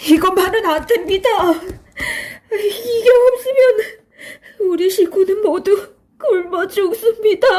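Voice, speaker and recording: A woman's voice: very high-pitched, slow pace, long pauses, dark tone, pitched above the performer's own voice. A woman voicing a desperate peasant farmer in a children's storybook, pleading and wailing. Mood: tearful begging. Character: desperate peasant farmer begging for his seed grain.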